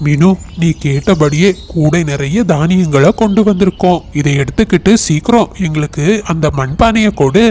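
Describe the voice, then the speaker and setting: A woman's voice: deep voice, normal speed, a little mellow. A woman voicing a gullible, complaining male crow in a children's cartoon story. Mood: requesting. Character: gullible male crow husband, whiny and hungry.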